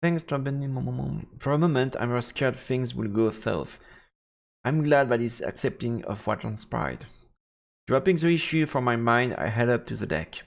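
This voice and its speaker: A man's voice: medium pitch, lively intonation, normal speed, gravelly. A man reads visual novel narration aloud dramatically as a streamer. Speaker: streamer narrating a let's play. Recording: roomy, echoing voice with long reverb. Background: game music.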